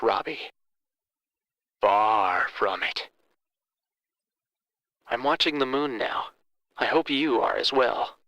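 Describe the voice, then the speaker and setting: An actor playing a vengeful, theatrical male villain in a horror audio drama, speaking with deliberate mock politeness, like a storyteller with a threat. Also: mid-range voice, pushed and bright, dry recording, poor audio. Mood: menacing.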